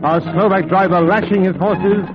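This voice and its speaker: Guy playing proper young english solicitor, old radio play, high earnest voice, muffled w/ music. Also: steady tone, moderate pace, natural voice. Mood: grave, troubled. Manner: earnest, dramatic, formal. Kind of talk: old-time radio drama acting and journal narration. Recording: dull vintage radio recording over loud music.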